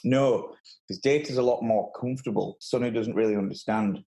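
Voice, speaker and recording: A man's voice: fairly low pitch, moderate pace, gravelly, warm tone. A man chatting informally as a guest on a podcast. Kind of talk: casual chat.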